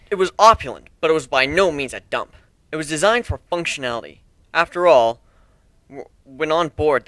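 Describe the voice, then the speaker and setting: A man's voice: mid-range voice, a bit bright, no room sound. A man narrating fan fiction as an amateur dramatic reading.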